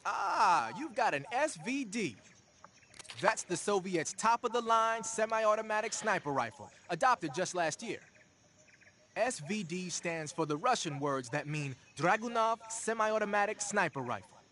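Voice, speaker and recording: An actor playing a talkative, streetwise tech and weapons expert in a video game, explaining things informally. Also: male voice, sits high, big pitch swings, unhurried, sharp tone, a little hiss or hum, exaggerated voice. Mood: matter-of-fact, explaining.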